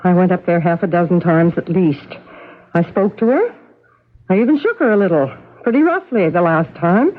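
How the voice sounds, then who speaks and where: A woman's voice: fairly low pitch, lively intonation, normal speed. A woman playing a fretful, protective farm mother in a radio drama, fussing as she speaks. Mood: flustered, defensive. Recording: tinny vintage radio broadcast.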